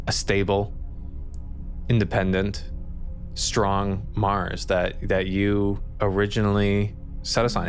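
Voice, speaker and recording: An earnest, doubting woman reporter in a tabletop roleplay, acted out by a man in his own low register. The delivery is hesitant and polite, words often repeated as the questions form. Music plays behind. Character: earnest, uneasy young woman reporter, idealistic but doubting. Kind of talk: improvised tabletop roleplay dialogue.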